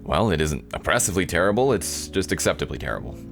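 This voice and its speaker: A man voicing a jaded, sardonic newcomer protagonist in a visual novel, deadpan and unimpressed. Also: low voice, fast talker, gravelly, soft background music, full character voice.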